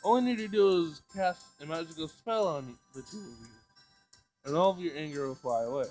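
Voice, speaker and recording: A man's voice: a little high, animated, a little fast, a little mellow, close to an everyday voice. A man voicing a magical girl who preaches peace and love in a cutesy, theatrical, bossy way. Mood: sweetly cheerful. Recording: music behind the voice.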